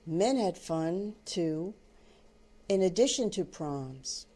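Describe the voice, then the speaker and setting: Slow, mellow older woman narrating. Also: on the low side, expressive. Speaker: author reading own book. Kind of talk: scripted audiobook narration, storybook reading.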